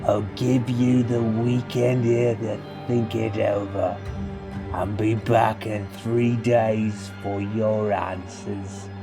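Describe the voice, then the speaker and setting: A man plays a cruel nobleman in dark armor for an audio drama. He speaks slowly and pompously, with mock courtesy that carries open menace. Music plays clearly behind him. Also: fairly low pitch, flat delivery. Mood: coldly menacing. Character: darkly armored noble, sneering, bellowing, cruel male authority. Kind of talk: scripted audio drama acting.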